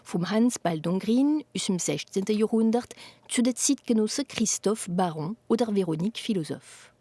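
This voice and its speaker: Casting: female reporter, even scripted voice-over, dry close sound. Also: mid-range voice, normal speed, no echo. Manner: even, read-aloud delivery. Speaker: news reporter. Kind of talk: scripted narration.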